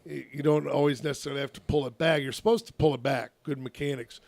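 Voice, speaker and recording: A man, a youth softball coach, telling a long story on a casual radio talk show, with a naturally loud voice and many restarts. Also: medium pitch, fairly even intonation, quick pace, gravelly, no echo.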